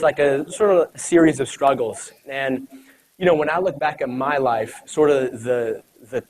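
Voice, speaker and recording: A man, giving a casual, conversational motivational talk to a live audience, with many fillers. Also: medium pitch. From United States. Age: 20s. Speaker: speaker at an event.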